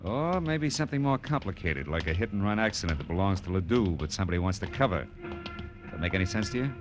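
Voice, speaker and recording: Low-voiced, brusque hard-boiled American detective. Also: male voice, expressive, fast. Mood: terse, pressing. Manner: brusque, slangy, clipped. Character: tough, wisecracking hard-boiled male private detective. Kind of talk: 1940s radio drama acting with first-person narration. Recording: old radio broadcast with music behind.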